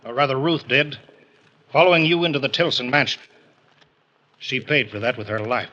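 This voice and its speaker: Stern, forceful radio cop. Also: male voice, medium pitch, moderate pace. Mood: grim accusation. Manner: hard, accusing. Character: stern, vengeful policeman closing in on killer. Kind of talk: 1940s radio acting. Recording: dull, slightly noisy vintage radio recording.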